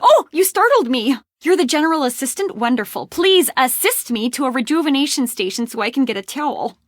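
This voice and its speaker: An actress playing an eager, grateful resort guest in a game. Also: female voice, sits high, big pitch swings, fairly quick, dry recording, studio quality. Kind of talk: game voice acting.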